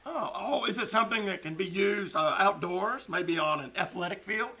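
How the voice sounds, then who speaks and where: A man's voice: sits high, expressive, normal speed, pushed and bright, breathy edge, heavily acted. A man playing a pompous, easily outraged boss with an emphatic delivery, in a live old-time radio comedy. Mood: curious, jolly. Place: small-town theater stage, live performance. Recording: noisy live theater stage recording.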